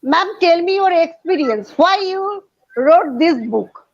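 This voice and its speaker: A woman hosts an interview with a high voice and a slow, careful delivery. Her phrasing is formal and halting, and the audio sounds dull and muffled. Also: animated. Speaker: host. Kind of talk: interview hosting.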